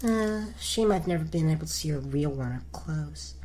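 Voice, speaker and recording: A woman's voice: low-pitched, animated, moderate pace, mellow, slight background noise. A woman playing a spirited, whiny young princess in a comic audio fairy tale, delivered with petulant melodrama. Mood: flustered, secretive.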